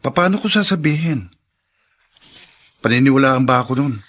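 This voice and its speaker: An actor playing a troubled, evasive young husband in a radio drama, reticent and guarded. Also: male voice, mid-range voice, animated, moderate pace, fairly bright tone. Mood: troubled, hesitant.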